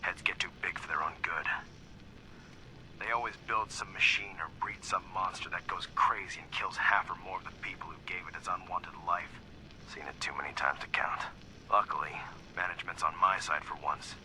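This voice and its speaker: An actor playing a gruff, cynical, weary security captain in a video game audio log, blunt, sardonic and folksy. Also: male voice, low voice, fairly even intonation, normal speed, sharp tone, a little hiss or hum, poor audio. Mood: confident, scornful.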